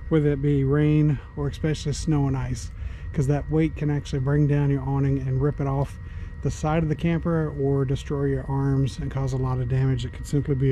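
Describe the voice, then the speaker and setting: Easygoing Southern man, flat delivery. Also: medium pitch, slight background noise, poor audio. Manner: easygoing, plain-spoken, with frequent uh fillers. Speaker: RV vlogger. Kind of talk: casual how-to tips. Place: outdoors at a snowy campground and inside an RV.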